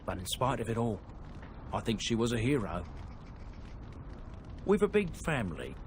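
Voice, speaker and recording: An actor playing an easygoing, chatty dwarf trader in a game. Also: male voice, medium pitch, wildly swooping pitch, normal speed, noisy background. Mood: friendly. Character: friendly male dwarf trader. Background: game music.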